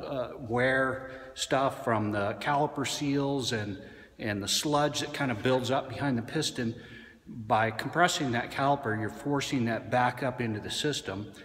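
Mechanic man, forties, casual shop tutorial, lots of "uh"s, phone-mic sound, echoing garage. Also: medium pitch. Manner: plain-spoken, frequent "uh" fillers. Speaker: auto mechanic. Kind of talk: casual how-to instruction. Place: car repair shop garage. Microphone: handheld phone camera at arm's length. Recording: reverberant shop room.

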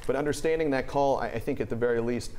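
An American man in his thirties reports live from a TV studio, explaining the news with care. His pitch is mid-range and his delivery measured, over faint background noise. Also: darkish tone.

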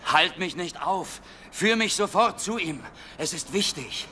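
A man's voice: sits high, lively intonation, a little slow, bright, forceful tone, big-room sound, a little hiss or hum, exaggerated voice. A man playing an alarmed, insistent royal messenger in a radio play, speaking urgently. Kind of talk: dramatic radio play acting.

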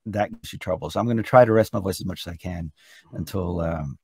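Norwegian guy, kinda hoarse, low gravelly voice, chatty and keen on a video call. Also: quick pace, dry recording. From Norway. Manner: chatty, enthusiastic, frequent restarts. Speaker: singer and contest entrant. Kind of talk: casual interview over video call. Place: hotel room indoors.

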